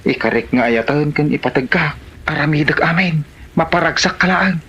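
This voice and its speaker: A man's voice: fairly high pitch, moderate pace, sharp tone, slightly rough, dull audio. A man acting in an Ilocano comedy drama as a devoted, persistent suitor, with a Filipino Ilocano accent. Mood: pleading, tender.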